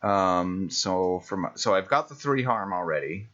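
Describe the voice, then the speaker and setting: A man's voice: deep voice, lively intonation, measured pace, fairly bright tone, muffled recording. A man playing a tabletop roleplaying game, talking through his moves and dice rolls in casual table talk.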